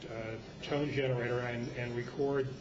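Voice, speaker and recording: A man's voice: medium pitch, flat delivery, normal speed. A man informally moderating a club discussion, talking in a casual, unscripted way. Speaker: meeting moderator. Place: club meeting room. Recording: muffled, noisy room recording, distant from microphone.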